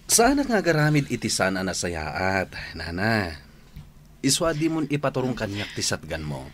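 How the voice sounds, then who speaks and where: A man's voice: on the low side, wildly swooping pitch, normal speed. A man playing a repentant suitor pleading for forgiveness in an Ilocano radio drama, speaking earnestly with an Ilocano accent. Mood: appeasing. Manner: earnest, imploring. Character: repentant, pleading male suitor seeking forgiveness from wary woman.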